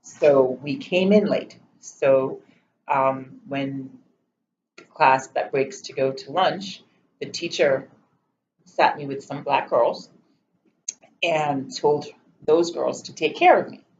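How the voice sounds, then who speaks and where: A woman's voice: extremely low pitch, a little mellow. Reflective Jamaican woman, muffled recording. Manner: reflective, measured, candid. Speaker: interviewee. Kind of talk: personal storytelling interview. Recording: slightly muffled, faint room noise.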